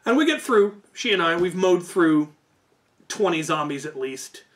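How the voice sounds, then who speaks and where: A man telling a casual, animated story about a tabletop game, as a host who acts out quoted lines. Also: sits high, measured pace.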